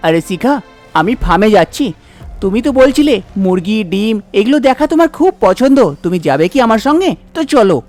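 A woman's voice: fairly high pitch, big pitch swings, fairly quick, darkish tone, faint music behind, pitched below the performer's own voice. A woman voicing a young husband in a cartoon story, exclaiming and questioning. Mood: cheerful.

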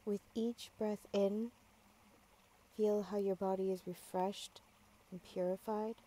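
A woman guiding a yoga nidra meditation, giving calm, measured, gentle instructions with long pauses. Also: medium pitch, poor audio. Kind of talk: guided meditation, slow soothing instruction.

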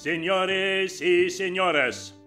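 Puppet-film showman: slightly high, unhurried, grave and theatrical, music behind. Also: steady tone, bright, forceful tone, full character voice. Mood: grand, theatrical. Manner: theatrical, deliberate. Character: grave showman puppeteer presenting his tale. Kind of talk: puppet film storytelling and dialogue.

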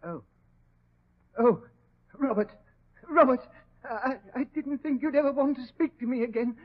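A frail, muddled man in anguish. The voice is extremely high for a man, faltering and pleading. It comes from a dull old radio recording. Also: a little slow. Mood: distraught, confused. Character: frail, confused, distraught man accused of murder. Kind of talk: 1940s radio mystery acting.

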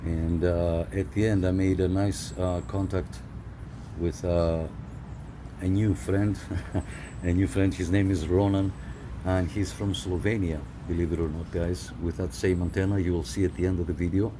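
A man hosting his own channel, casually explaining a homemade radio antenna in an easygoing, unhurried way with many fillers. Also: low-pitched, background noise. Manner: relaxed, explanatory, frequent "uh" fillers. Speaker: CB radio hobbyist host. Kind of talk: casual how-to narration.

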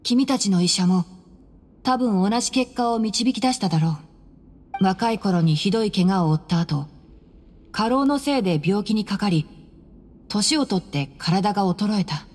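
An actor playing a calm, tactful young male scholar in a Japanese anime game, gently explaining in measured phrases. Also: sits high, a little hiss or hum. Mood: grave.

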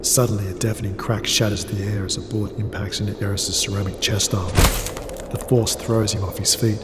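Low, even-toned male story narrator. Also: fairly quick, reverberant, in a large hall, loud music behind the voice. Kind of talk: scripted cinematic sci-fi narration.

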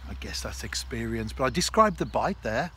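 Guy in his 50s explaining fishing outside, short measured phrases, bit of background noise, normal pitched voice. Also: sing-song, bright, forceful tone. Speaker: angler and vlogger. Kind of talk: casual instructional fishing vlog. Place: outdoors on a canal bank. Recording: clear outdoor background noise.

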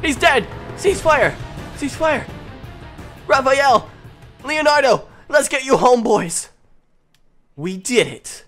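A man playing a video game with excitable, exclamatory roleplay chatter. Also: extremely high pitch, slow, pauses often, soft background music. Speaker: gaming video player in roleplay.

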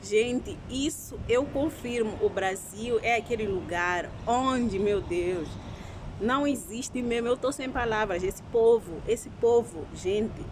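A woman's voice: fairly high pitch, takes it slowly, background noise. A young woman from Mozambique reacting with effusive, exclamatory commentary. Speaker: channel host reacting.

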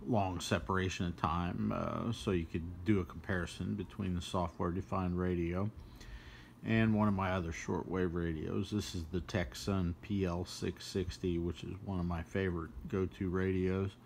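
Man, low gravelly voice, casual hobbyist demo, some background noise. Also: little pitch movement, moderate pace. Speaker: shortwave radio hobbyist presenting a demonstration. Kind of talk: casual unscripted demonstration.

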